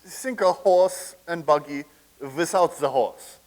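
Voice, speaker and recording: A man acting in a staged comedy reading as a boastful, thin-skinned, excitable wartime German officer. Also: fairly high pitch, takes it slowly. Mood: chatty, delighted.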